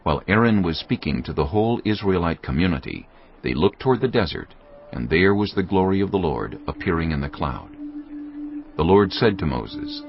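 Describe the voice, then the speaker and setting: A man's voice: deep voice, sing-song, moderate pace, a little hiss or hum. A man narrating dramatized scripture from a script, as the storyteller between the characters' lines. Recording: dull band-limited audio with music.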